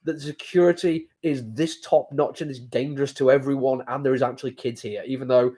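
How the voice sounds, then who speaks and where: Fast-talking man with a cold. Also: mid-range voice, steady tone, dark tone. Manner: rambling, hesitant with many fillers. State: has a cold, sore throat, blocked sinuses. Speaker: comic book reviewer. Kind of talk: casual spoken review.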